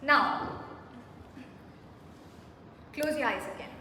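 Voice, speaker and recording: High-voiced woman leading a workshop in an echoey hall. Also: lively intonation, very slow. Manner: engaging, asks the audience questions, leads exercises. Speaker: workshop presenter. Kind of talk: interactive self-care workshop. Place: large hall with an audience. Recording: echoey hall, mic far off, faint noise.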